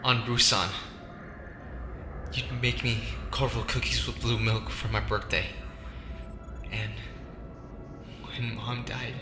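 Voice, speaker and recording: A man playing a long-lost son pleading softly with his father in a fan audio drama. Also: mid-range voice, flat delivery, takes it slowly, pauses often. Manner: soft, pleading, quiet. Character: long-lost young adult son, gentle. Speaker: June, the villain's son. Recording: echoey room with music.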